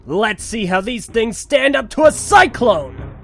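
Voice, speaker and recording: A man playing a brash, excitable young wind warrior, theatrical and exclamatory, in a fantasy audio drama. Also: very high voice, unhurried, gravelly, faint music behind, heavily acted. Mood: cocky. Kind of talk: fan audio drama acting.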